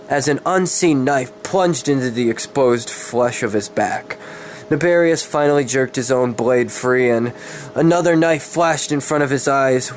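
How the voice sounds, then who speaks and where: A man giving a dramatic reading of fantasy horror fiction, stumbling over words and correcting himself. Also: medium pitch. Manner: stumbling, self-correcting delivery. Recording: clear background noise.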